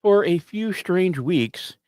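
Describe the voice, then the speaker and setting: A man hosting a podcast, delivering scripted storytelling narration with dramatic emphasis and deliberate pauses. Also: high voice, animated, darkish tone, clean tone, no room sound. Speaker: podcast host.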